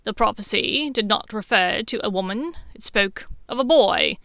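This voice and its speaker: A woman voicing a stern old male wizard headmaster who speaks slowly and gravely. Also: mid-range voice, expressive, pushed and bright, dry recording. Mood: grave measured. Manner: slow, measured, grave.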